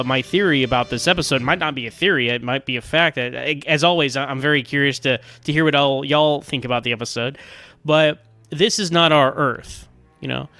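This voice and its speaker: A man's voice: on the high side, sharp tone. A man giving casual, opinionated fan commentary as a podcast listener, chatty and circling back over his phrases.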